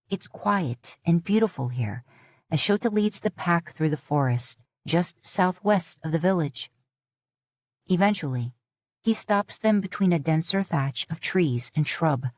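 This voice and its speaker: Low-voiced woman narrating a story. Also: a little mellow, dry recording, studio quality. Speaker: fan fiction audiobook narrator. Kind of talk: scripted third-person story narration.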